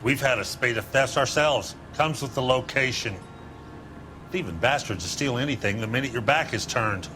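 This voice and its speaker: An actor playing a slick, evasive 1940s American used-car dealer in a crime game, with smooth, defensive salesman patter. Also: mid-range voice, normal speed, over music, close to an everyday voice. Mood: evasive, aggrieved. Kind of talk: dramatic game acting, period crime story.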